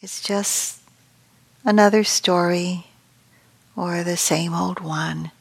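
A woman meditation teacher leading a guided meditation in a gentle, unhurried way with long pauses, her voice soft and turning breathy as phrases end. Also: fairly low pitch, fairly even intonation.

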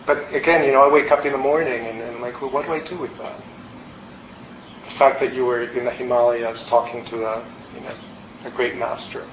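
A man's voice: medium pitch, a bit bright, slight background noise. Reflective man giving a dharma talk. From El Salvador. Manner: candid, reflective, with many fillers and restarts. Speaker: meditation teacher. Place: meditation hall. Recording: roomy, slightly dull hall recording.

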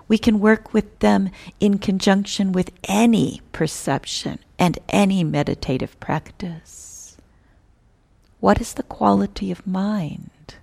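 A woman teaching a guided meditation in a measured, gentle manner, with long pauses between phrases. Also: medium pitch, gravelly.

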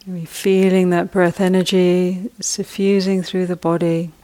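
A woman meditation teacher leading a guided meditation, speaking soft, gentle instructions with long pauses between phrases. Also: on the low side, little pitch movement.

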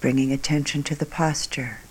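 A woman meditation teacher guiding a meditation calmly and unhurriedly, in short phrases with long pauses, her voice soft and breathy at phrase ends. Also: deep voice, rough recording. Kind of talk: guided meditation.

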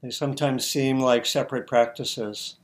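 A man teaching meditation, giving gentle guided instructions in halting, spaced-out short phrases. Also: medium pitch, fairly even intonation.

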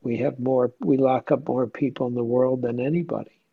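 A man's voice: mid-range voice, steady tone, moderate pace, creaky, husky, phone-quality sound. A man leading an informal group discussion as a meditation teacher, conversational and questioning, often checking in with listeners. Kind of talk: informal question-and-answer dharma talk.

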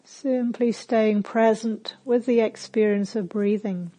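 A woman meditation teacher leading a guided meditation with gentle, unhurried instructions and pauses between phrases. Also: on the high side, fairly even intonation, rough recording.

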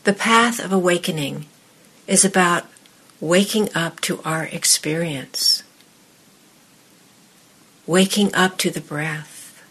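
A woman with a somewhat low, breathy voice guides a meditation. She speaks very slowly and deliberately, in short phrases with space between them. Her tone is husky, and she talks as a teacher addressing a seated group. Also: a bit bright, poor audio. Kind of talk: guided meditation and dharma talk to a group in the room.

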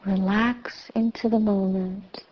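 A woman meditation teacher giving guided instruction in a measured, soothing way, with long pauses between phrases. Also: mid-range voice, fairly even intonation. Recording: slightly dull, muffled recording.

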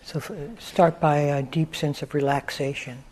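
A man teaching a guided meditation in a calm, gentle manner, leaving long pauses between phrases. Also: on the high side. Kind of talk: guided meditation, unscripted instruction.

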